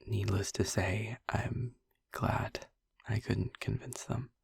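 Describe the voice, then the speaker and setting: Audio roleplay vampire boyfriend, male actor: low, very breathy husky voice, tender, halting confession on a close dry mic. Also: fairly even intonation, dry recording, whispered, full character voice. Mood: heavy, subdued. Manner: hesitant, halting, soft-spoken, confiding. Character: gentle young-looking male vampire boyfriend confiding painful past. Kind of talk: intimate audio roleplay monologue.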